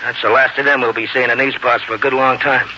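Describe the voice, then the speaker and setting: A tough old-campaigner frontier militiaman, speaking in a very bright, cutting voice. His folksy, emphatic lines come through a dull, faintly noisy vintage radio recording. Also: medium pitch, little pitch movement, quick pace. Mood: gruffly reassuring. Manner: plain, rustic, emphatic talk. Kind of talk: 1940s radio drama acting.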